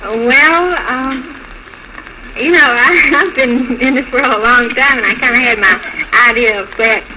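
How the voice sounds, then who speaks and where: A woman telling her own story haltingly and politely as a guest in a 1940s radio interview. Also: sits high. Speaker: bride, show guest. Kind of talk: unscripted personal storytelling in interview. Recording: noisy, crackly 1940s radio recording.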